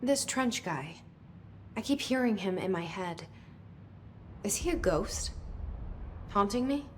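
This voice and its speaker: A woman's voice: medium pitch, lively intonation, slow, slight background noise. An actress voicing a wary young woman newcomer in a game, asking short, direct questions.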